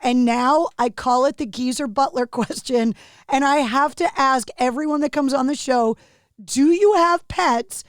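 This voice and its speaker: A woman hosts a podcast interview with a raspy, fairly high voice. She is chatty and self-deprecating, and she is recorded close and dry, with no room sound. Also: a little slow, no echo. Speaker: podcast host. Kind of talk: casual interview chat.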